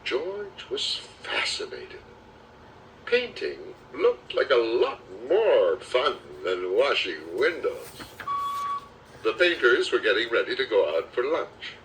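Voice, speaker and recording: A man narrating a children's storybook aloud with expressive, dramatic storytelling delivery. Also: medium pitch, slow pace, slight background noise. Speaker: audiobook narrator. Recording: slightly distant cassette recording with music.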